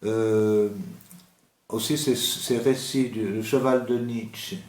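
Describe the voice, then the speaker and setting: A man giving an unscripted literary talk about an author as the host, slow and halting, with many hesitations and restarts. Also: fairly low pitch, steady tone, darkish tone, roomy sound.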